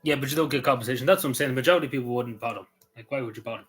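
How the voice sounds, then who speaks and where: An Irish man rattles along at a quick clip, answering his live chat with a loose, rambling, easygoing delivery. His speech is full of "you know" and restarts, his pitch sits mid-range, and faint music plays quietly behind him. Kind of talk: casual livestream chat.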